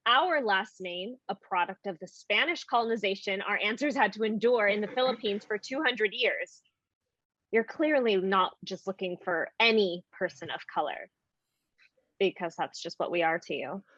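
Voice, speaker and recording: An actress playing a proud, blunt Filipino American performer at an audition in a naturalistic play. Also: female voice, mid-range voice, moderate pace, fairly bright tone, no echo, close to an everyday voice. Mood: indignant. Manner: blunt, confrontational. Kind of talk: naturalistic stage play acting over video call. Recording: muffled, dry video-call audio.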